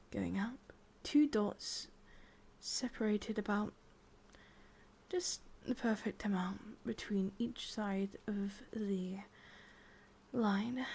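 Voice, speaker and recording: A woman with an English accent playing a lovelorn, obsessive immortal witch in a breathy, intimate whispered ASMR roleplay. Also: medium pitch, full character voice. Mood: soft, instructive. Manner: slow, hushed, intimate delivery with pauses.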